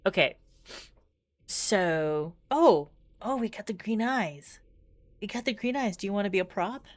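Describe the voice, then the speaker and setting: A woman's voice: medium pitch, lively intonation, measured pace, no room sound. A woman streaming a visual novel, moving between casual, rambling commentary and dramatic read-aloud narration.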